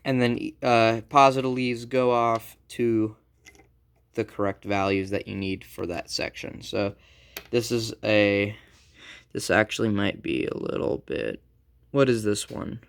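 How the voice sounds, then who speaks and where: A man giving a casual, unscripted how-to as a hobbyist repairer, halting and informal as he thinks aloud. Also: fairly low pitch, gravelly, smooth. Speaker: hobbyist electronics repair presenter.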